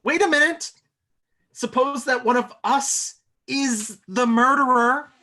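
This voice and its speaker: A woman's voice: sits high, slow, sharp tone, full character voice. A woman playing a worried, reasoning guest in a comedy mystery script reading. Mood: apprehensive. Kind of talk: table read of a comedy film script.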